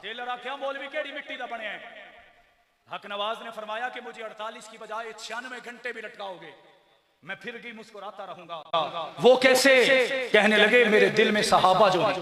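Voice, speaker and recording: A man's voice: high-pitched, moderate pace, fairly bright tone. A man with a Pakistani accent preaching in fiery, impassioned oratory, declaiming to rouse his listeners. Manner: impassioned, declamatory, rousing the audience with questions. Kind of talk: fiery religious oratory to a crowd. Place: large religious gathering, heard over loudspeakers with a crowd. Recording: echoing PA sound with crowd noise.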